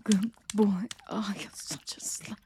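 A woman's voice: mid-range voice, takes it slowly, background noise. A young adult woman performing an intimate scripted audio roleplay close to the microphone, commanding and teasing, often dropping to a whisper. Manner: soft and gentle near the end.

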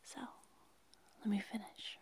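A woman playing a seductive, insistent secret night-time lover in an intimate audio roleplay, speaking in a hushed, breathy, coaxing whisper. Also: lightly acted. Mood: coy, coaxing. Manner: coaxing, hushed, slow and pleading.

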